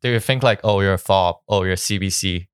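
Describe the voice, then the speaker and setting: A man in a casual group conversation who talks in a plain, measured way and asks the others pointed questions. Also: low voice, clean tone.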